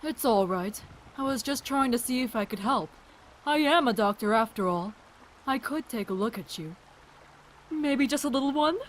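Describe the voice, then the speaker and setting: A woman's voice: a little high, expressive, normal speed, slight background noise, close to an everyday voice, rough recording. A woman playing a friendly, curious doctor in an audio drama, chatty and reassuring. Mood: earnest, concerned. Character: friendly, curious adult doctor and virus researcher.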